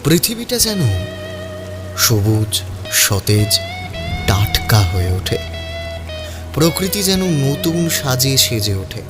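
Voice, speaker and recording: A man's voice: medium pitch, sing-song, slow pace, long pauses. A man narrating a scripted story in a dramatic audiobook style. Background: background music.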